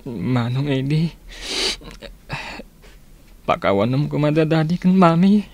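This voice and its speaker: Higher-voiced, sorrowful son. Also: male voice, animated, slow pace, a little mellow, highs cut off. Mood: distressed. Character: troubled grown son confiding about his parents. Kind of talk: Ilocano radio drama acting.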